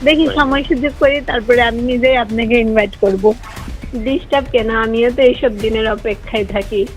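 A woman's voice: on the high side, steady tone, moderate pace. A woman with a Bangladeshi accent talking casually in a recorded private phone conversation. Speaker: hospital cleaner. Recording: dull lo-fi recorded phone call. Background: music.

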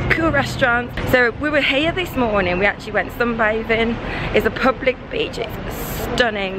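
A woman in her thirties with a slightly husky, sore-throated voice, chatting casually to camera as a travel vlogger. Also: medium pitch, background noise. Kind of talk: casual vlog chat to camera. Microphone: camera held at arm's length. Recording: music laid behind the voice.

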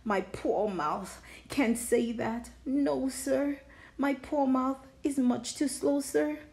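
A woman's voice: sits high, slow pace, a little mellow, pitched above the performer's own voice. A woman voicing an exasperated, whining male creature in a storybook, protesting and pleading. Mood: stubborn, whining.

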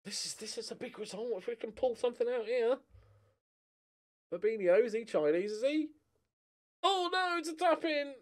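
A man's voice: very high-pitched, animated, normal speed, long pauses. A middle-aged man giving casual, rambling commentary on a game, with a wry, self-mocking, jokey manner. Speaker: gaming video host.

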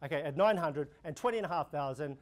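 A young man with an Australian accent, an auctioneer running a practice auction, calling bids in a steady repeating rhythm. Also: on the high side, fast talker. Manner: rhythmic, repetitive bid calling, gestures while talking. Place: indoor room before a projection screen.

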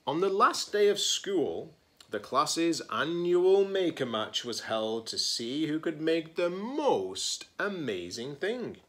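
A man's voice: a little high, a bit bright. A man in his forties, a teacher-librarian, reading a children's picture book aloud expressively, stressing key words and adding casual asides.

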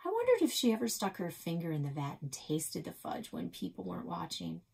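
A woman's voice: on the low side, wildly swooping pitch, brisk, gravelly, a little mellow, slightly rough. A middle-aged woman reading a children's novel aloud as a storyteller, recorded in a small office at about arm's length.

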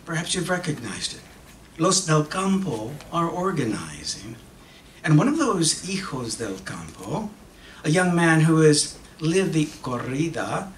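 A middle-aged man giving an expressive, emphatic dramatic reading with deliberate pauses, moving between two languages, at a microphone on a stage. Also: a little high. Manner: switches between English and Spanish. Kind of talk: theatrical dramatic monologue read from a script. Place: theater stage at a podium. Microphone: microphone on a stand. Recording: echoey hall from far off, faint noise.

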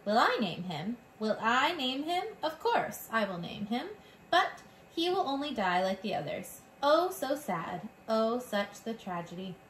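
A vain, theatrical mother mouse with a put-on French accent, as a woman reads her aloud in a storybook. She is high and lively, swooping through her self-pitying sighs with a bright, sharp tone. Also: unhurried, reverberant, a little hiss or hum, poor audio.

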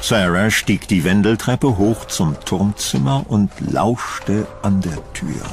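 A man's voice: on the low side, expressive, slow pace, gravelly, soft background music. A man narrating a scripted horror story as the narrator of an audio drama.

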